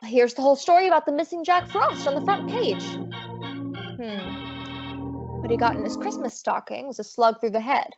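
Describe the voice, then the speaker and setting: A woman's voice: high voice, normal speed, music in the background. A woman playing a sassy, breezy lady detective with wry quips in an old-time radio drama. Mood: playful. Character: sassy, wisecracking lady private detective, confident and flirtatious. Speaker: detective lead and narrator. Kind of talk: old-time radio detective acting and first-person narration. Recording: dull lo-fi audio.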